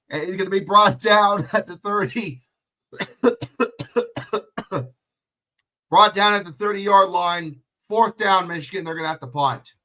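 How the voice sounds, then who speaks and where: A man's voice: on the high side, pushed and bright. Sniffly sick guy calling football casually. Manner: swearing, chatty, answering chat questions. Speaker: livestream play-by-play commentator. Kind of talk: casual solo play-by-play commentary.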